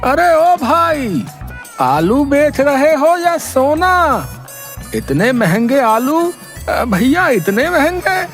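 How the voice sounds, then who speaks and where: High-pitched, drawling stingy merchant acted out. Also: big pitch swings, soft background music, full character voice. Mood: haggling, indignant. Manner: haggling, drawn-out protesting. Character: stingy, fussy village merchant man, grumbling over every price. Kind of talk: animated moral-story cartoon acting.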